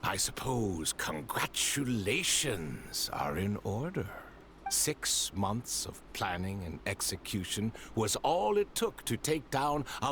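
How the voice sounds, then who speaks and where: A man playing a suave, polite crime boss in a video game, speaking in a measured, sardonic and theatrical manner. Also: medium pitch, fairly bright tone, slight background noise.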